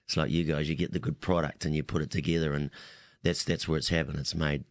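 A man's voice: extremely low pitch, little pitch movement, fast talker, dry recording, studio quality. A man with a New Zealand accent, a winemaker guest chatting on a talk radio show, rambling and repeating himself as he talks. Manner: rambling, repeats words, laughs easily. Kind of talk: casual talk radio chat.

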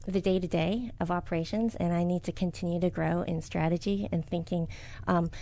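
Brief: middle-aged woman, business owner, interviewee; soft-spoken, halting, lots of ums. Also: on the low side, fairly even intonation, creaky. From United States. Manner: soft-spoken, hesitant with many fillers. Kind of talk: interview answers.

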